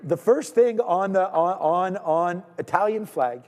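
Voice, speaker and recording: A man from Canada hosting a live trading stream, talking in energetic, rambling casual banter, repeating words and shouting out viewers. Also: high voice, unhurried, big-room sound.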